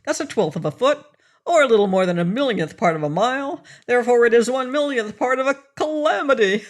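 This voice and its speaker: A woman's voice: medium pitch, sing-song, normal speed, fairly bright tone, voice pushed up. A woman voicing a fussy, self-important little man who complains and wails. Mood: wailing. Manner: whining, fretful, wailing.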